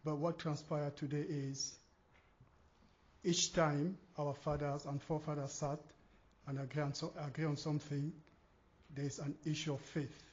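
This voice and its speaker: Man at a talk, higher voice, very flat and steady, muffled recording. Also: moderate pace. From Cameroon. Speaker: audience member asking a question. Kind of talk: question from the floor at a talk.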